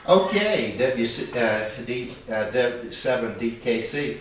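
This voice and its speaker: A man's voice: medium pitch, lively intonation, slow. Guy on ham radio, kinda hesitant and apologetic, really echoey n a bit far off. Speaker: amateur radio operator. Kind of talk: casual two-way radio chat. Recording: echoey, distant, noisy internet radio link.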